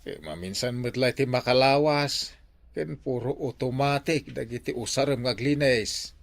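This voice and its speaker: An easygoing older father in an Ilocano radio drama, voiced by a man. His medium-pitched voice crackles with fry, and he talks in a hesitant, conversational way. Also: fairly even intonation, normal speed, lightly acted. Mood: coaxing.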